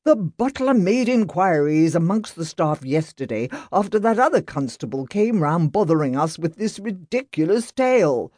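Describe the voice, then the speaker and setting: A haughty, red-faced rich gentleman , as a woman reader puts him on barks and dismisses with scorn. The voice sits far higher than the narrator's usual tone. Dry, close reading. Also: no room sound. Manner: shouting, dismissive. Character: haughty, hot-tempered wealthy man.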